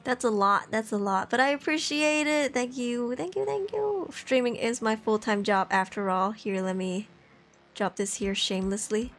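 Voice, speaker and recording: A young woman from Malaysia chatting casually on a live stream, reading viewer messages aloud and laughing often. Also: normal speed. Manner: chatty, laughs often, lively jumps in pitch. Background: faint background music.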